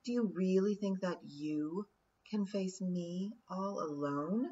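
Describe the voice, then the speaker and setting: A woman playing a cold, scheming fairy queen who talks slowly and mockingly. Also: lowish voice, warm tone. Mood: menacing. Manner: slow, hissing, mocking. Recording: dull lo-fi recording.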